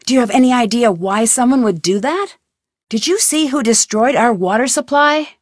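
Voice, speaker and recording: A woman's voice: fairly high pitch, normal speed, no room sound, lightly acted. A woman voicing a curious, probing young woman detective in a video game, asking question after question with a dry wit. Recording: dry studio recording.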